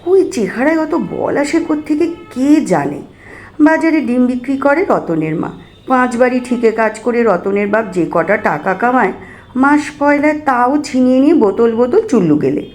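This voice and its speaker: Lady reading a short story aloud, expressive, voice a bit on the high side. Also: moderate pace, reverberant.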